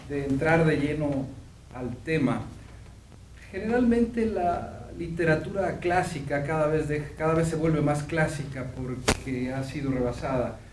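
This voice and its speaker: Male professor lecturing, mid-pitch, roomy hall, heard from afar with faint hum, measured delivery. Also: darkish tone, recorded from a distance. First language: Spanish. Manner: measured, with frequent fillers. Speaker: visiting professor. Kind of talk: academic lecture.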